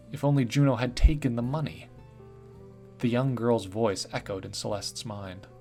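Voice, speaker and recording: A man reading a dark piece of fiction aloud as a scripted narration, measured and deliberate, letting phrases slow and fall away at their ends. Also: mid-range voice. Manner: measured storytelling with slowed, dropped-off phrase endings. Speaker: story narrator. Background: faint guitar music.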